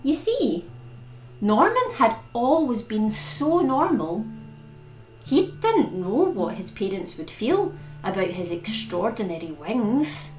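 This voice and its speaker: A woman's voice: on the high side, very animated, takes it slowly, darkish tone. A woman reading a picture book aloud for young children as storytime host, expressively and with dramatic emphasis on key words. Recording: roomy echo with plucked guitar music.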